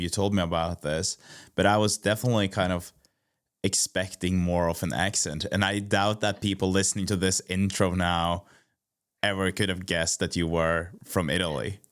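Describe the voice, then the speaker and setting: A man's voice: low-pitched, clean tone. A man from Norway hosting a running podcast, chatting in a friendly, hesitant way. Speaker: podcast host. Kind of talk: casual interview chat.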